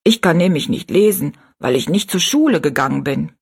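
A woman voicing a tiny, kind and wise old woman who speaks softly, slowly and in a hushed way. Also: low voice, lively intonation, vocal fry, slightly rough, full character voice. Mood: quiet. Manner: soft, slow and hushed.

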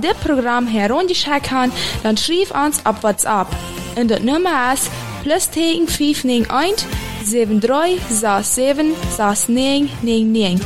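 A woman reading a scripted radio station announcement in an even, read-out delivery. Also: fairly high pitch.

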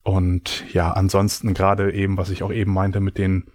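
Guy answering interview questions, lowish voice, explains things, casual, no room sound. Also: completely flat delivery, normal speed, a little mellow, no echo. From Germany. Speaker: interview guest.

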